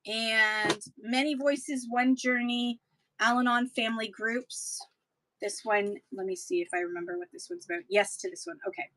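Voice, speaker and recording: A woman selling books in a chatty live-stream auction, her voice getting hoarse, with playful sing-song asides. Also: on the high side, steady tone, normal speed, fairly bright tone, no room sound, rough recording.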